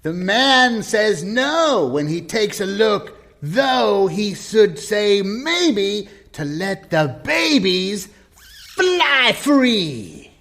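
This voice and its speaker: A man's voice: sits high, sing-song, extremely slow, fairly bright tone, full character voice. Swooping, theatrical eccentric male poet played by an actor. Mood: grandly poetic. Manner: theatrical declaiming, swooping between chant and grumble. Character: eccentric male open-mic poet called Seven, dramatic and touchy. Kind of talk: improvised audio comedy.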